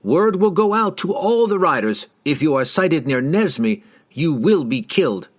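A man's voice: medium pitch, animated, unhurried, voice pushed up, close to an everyday voice. A man voicing a haughty, hostile patrol rider, with pompous, sneering and archaic speech in a fantasy audiobook. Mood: taunting.

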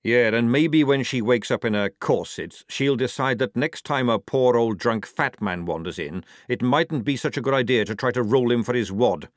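A man voicing a gruff, cunning, fat army sergeant with a growling delivery in an audiobook. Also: mid-range voice, animated, normal speed, no room sound, clean studio sound, lightly acted. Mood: wry, unrepentant.

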